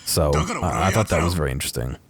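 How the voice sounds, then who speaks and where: A man's voice: extremely low pitch, lively intonation, fast talker, a crowd in the background. A man giving casual, unscripted video game commentary, hesitantly thinking aloud as he goes.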